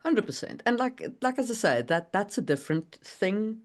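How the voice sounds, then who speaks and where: Animated woman in casual podcast chat. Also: mid-range voice, normal speed. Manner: animated, seeks agreement with "you know what I mean". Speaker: podcast co-host and writer. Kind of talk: casual chat about writing.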